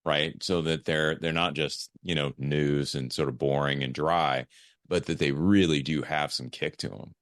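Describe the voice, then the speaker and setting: A man's voice: very deep voice, fairly even intonation, a little slow, dry recording, studio quality. A man hosting a podcast in casual interview chat, reflectively thinking aloud as he talks. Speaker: podcast host.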